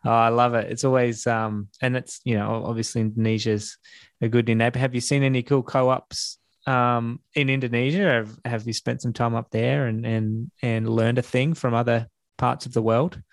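A man's voice: mid-range voice, flat delivery, moderate pace, no room sound, clean studio sound. A man hosting a casual interview, chatty and enthusiastic, often restarting his sentences. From Australia. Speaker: podcast host.

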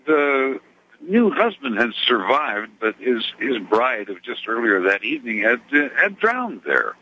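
A man telling a story over a muffled phone line, his voice husky and bright. He is a truck driver calling in to a radio show, and his delivery is genteel and full of lively rises and falls. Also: mid-range voice, a little slow.

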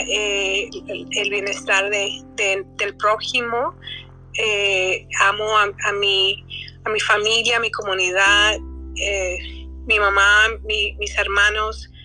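A woman in her forties from Texas, a guest talking about her life in a halting way, with many fillers and restarts. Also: medium pitch, bright, forceful tone, poor audio. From McAllen, Texas, USA. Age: 40s. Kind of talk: casual interview talk.

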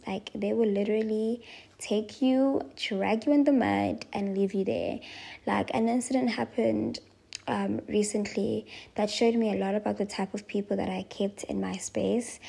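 A woman in her twenties with a South African accent, hosting a solo podcast and reflecting softly and thoughtfully, with frequent pauses and ums. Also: medium pitch, creaky, breathy edge. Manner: soft-spoken, slow and thoughtful with frequent ums. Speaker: podcast host.

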